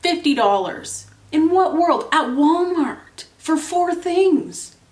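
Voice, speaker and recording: A woman's voice: high-pitched, expressive, slow, darkish tone, echoey room. A young woman from Canada, congested and nasal from a cold, chatting casually while she does her makeup. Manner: chatty, rambling, filler-heavy. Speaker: beauty vlogger.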